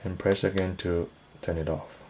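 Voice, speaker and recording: A man's voice: sits low, flat delivery, moderate pace, a little mellow, a little hiss or hum. A man giving a casual product review, explaining things step by step in a hesitant way.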